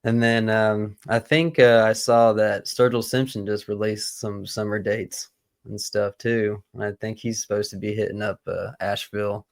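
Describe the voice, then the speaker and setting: Gravelly, laid-back man co-host. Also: on the low side, fairly even intonation, moderate pace, no room sound. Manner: laid-back, hesitant, full of ums and uhs. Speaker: podcast co-host. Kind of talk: casual chat.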